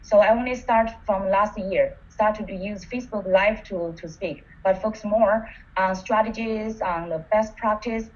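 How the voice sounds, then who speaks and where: A woman whose first language is not English, earnestly sharing her experience as a coaching student, halting and restarting as she speaks. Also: mid-range voice, steady tone, muffled recording. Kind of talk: sharing a personal testimony.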